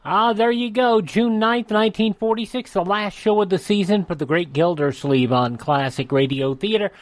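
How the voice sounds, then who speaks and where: A man's voice: high voice, moderate pace. A man hosting a radio programme, delivering an outro and plugs in a studio. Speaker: radio show host. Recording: dry studio with music behind.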